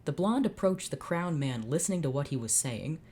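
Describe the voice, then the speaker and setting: A woman's voice: low voice, expressive, a little fast, a little mellow, slight background noise. A young woman reading a fanfiction story aloud, doing character voices and breaking off into exasperated asides. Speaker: fanfiction reader. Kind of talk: dramatic fanfic reading with reactions.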